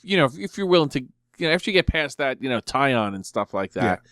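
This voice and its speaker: A man's voice: mid-range voice, big pitch swings, fast talker, a bit bright, no echo. Man co-hosting casually, dry studio-like sound. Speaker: podcast co-host. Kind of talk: casual chat.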